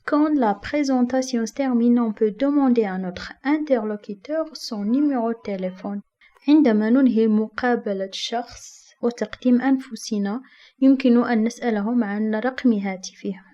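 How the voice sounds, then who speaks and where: A woman teaching a beginner language lesson, saying each example slowly and carefully, then explaining quickly in a second language. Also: fairly high pitch, warm tone, muffled recording.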